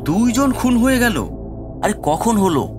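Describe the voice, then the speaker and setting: Male actor as inquisitive village gossip, slightly high voice, audio-drama dialogue over soft music. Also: big pitch swings, measured pace. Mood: startled. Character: curious, gossiping village man discussing local murders.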